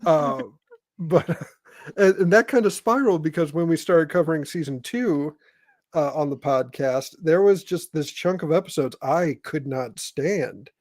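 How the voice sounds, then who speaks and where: An American man from Indiana hosting a casual podcast interview in a halting, rambling way. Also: on the high side, darkish tone, no room sound, clean studio sound. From Indiana, United States. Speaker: podcast host.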